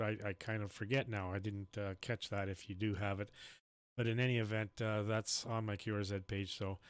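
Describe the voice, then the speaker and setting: A man's voice: on the low side, smooth, no room sound. A man chatting casually as an amateur radio operator, in the manner of someone hosting an on-air contact. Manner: spells call signs in phonetic alphabet. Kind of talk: casual ham radio chat.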